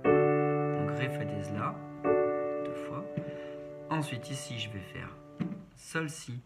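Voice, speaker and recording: A man's voice: mid-range voice, lively intonation, slow pace. A man giving a step-by-step piano tutorial in short instructional phrases, naming notes one by one. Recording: piano playing over the voice. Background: keyboard piano being played.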